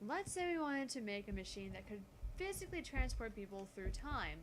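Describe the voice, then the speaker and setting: A woman voicing a calm, gutsy teenage genius girl who lectures on physics in a visual novel. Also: on the high side, a little fast, a little hiss or hum. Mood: confident. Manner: explanatory, lecturing.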